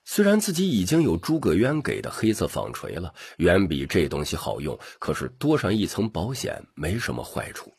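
A man with a rough voice narrating a scripted audiobook story. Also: deep voice, wildly swooping pitch, normal speed, few pauses, a little mellow.

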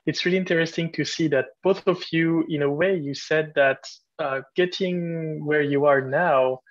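A man's voice: on the high side, steady tone, moderate pace, dry recording. A man moderating an online webinar question session, polite and inviting. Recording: dry, dull lo-fi video call audio.

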